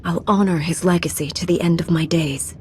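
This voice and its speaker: A woman playing a steadfast, earnest Jedi hero in a video game, calm and resolute. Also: lowish voice, a little fast, a little hiss or hum, close to an everyday voice.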